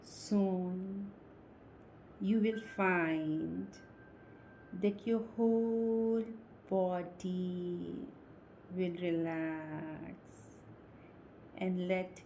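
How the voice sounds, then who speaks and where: A woman guides a relaxation session as a hypnotist. Her voice is mellow and relaxed, delivered extremely slowly in short, soothing phrases with long pauses. A faint layer of ambient noise sits behind her. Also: fairly low pitch. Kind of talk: slow guided meditation, hypnosis induction.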